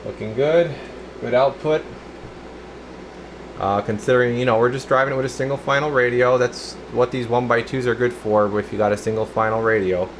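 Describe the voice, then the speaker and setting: A man's voice: medium pitch, normal speed, rough recording. A man giving a casual, methodical hands-on equipment demo as a technician, in a matter-of-fact technical way. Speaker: radio technician, channel host. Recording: steady room hum and background noise.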